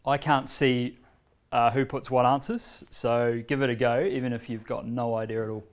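A man, giving a casual workshop demonstration in a meeting room with many fillers and pauses. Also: mid-range voice, little pitch movement. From New Zealand. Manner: standing, walking between screen and laptop. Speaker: presenter demonstrating a learning tool. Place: bright medium-sized meeting room with a screen, indoors. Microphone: far camera.

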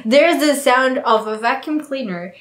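A woman's voice: sits high, animated, a little slow. Gushing young woman reacting to music. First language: Portuguese. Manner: gushing, exclamatory, laughs often. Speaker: K-pop reaction vlogger. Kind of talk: casual reaction commentary. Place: small bright room at home, indoors. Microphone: earphone headset mic. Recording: roomy home echo with faint music behind.

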